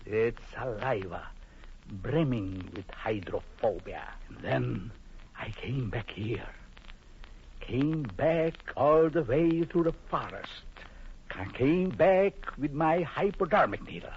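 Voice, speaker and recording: An actor playing a coldly vengeful, jealous doctor with menacing mock courtesy in a vintage radio horror drama. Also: male voice, mid-range voice, animated, takes it slowly, a little hiss or hum. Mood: gloating menace. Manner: menacing, mock-polite, theatrical. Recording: muffled vintage radio broadcast.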